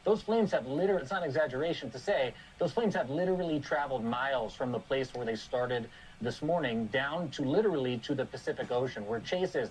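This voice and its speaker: A man's voice: mid-range voice, a little hiss or hum, poor audio. A man with an American accent giving emphatic, repetitive live news commentary.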